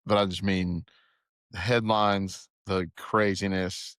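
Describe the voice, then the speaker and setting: A man co-hosting a casual sports podcast, easygoing and a little halting in his speech. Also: sits low, little pitch movement, a bit bright, no room sound, studio quality. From Arkansas. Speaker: podcast co-host.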